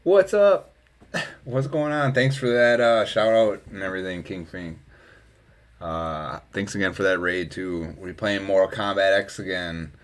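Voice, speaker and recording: A man's voice: sits low, unhurried. A young man from Wisconsin, chatting casually on a live stream, rambling and laughing as his volume rises and drops. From Wisconsin, USA. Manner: rambling, laughs often, swings from loud to mumbled.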